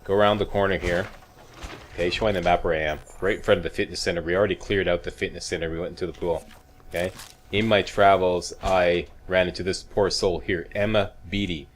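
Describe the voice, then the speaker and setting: A man's voice: low voice, fairly even intonation, fairly quick, a little hiss or hum. A man hosting a video game walkthrough with casual, chatty, wry commentary and frequent check-ins with the viewer. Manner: chatty, wry, mildly profane, checks in with "okay" and "right".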